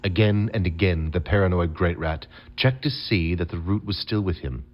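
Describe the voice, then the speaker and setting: Very deep-voiced male storybook narrator. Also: moderate pace, muffled recording. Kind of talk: scripted storybook narration for an audio adventure game.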